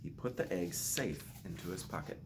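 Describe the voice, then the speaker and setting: A man's voice: deep voice, lively intonation, moderate pace, noisy background. A man reading a children's storybook aloud expressively, with playful asides. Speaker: school staff member reading aloud to children.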